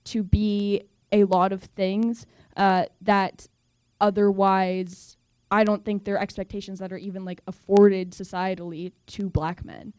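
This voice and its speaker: A teenage girl on a student panel making an analytical, opinionated point with a wry edge. Her voice is mellow and dark-toned, recorded dry and close. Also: medium pitch, little pitch movement, a little slow, clear, resonant tone, no room sound. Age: teens. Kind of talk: panel discussion answer.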